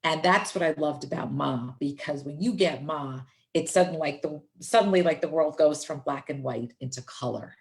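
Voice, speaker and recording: A woman's voice: deep voice, moderate pace, hardly any pauses. A woman interviewing an author, responding enthusiastically while she searches for her words. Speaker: library interviewer. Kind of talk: casual interview talk.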